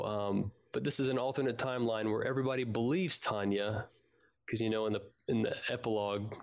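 A man's voice: fairly low pitch, gravelly. A man hosting a fan podcast in a casual, informal and chatty way.

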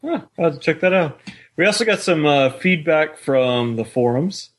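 A man's voice: a little high, expressive, normal speed. Easygoing man co-hosting a podcast. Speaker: podcast co-host. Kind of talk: casual podcast chat.

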